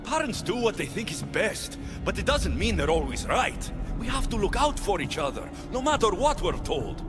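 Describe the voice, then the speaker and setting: An actor playing a blunt, firm warrior hero serving as a judge in a video game. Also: male voice, high-pitched, very animated, moderate pace. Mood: stern. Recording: game soundtrack music underneath.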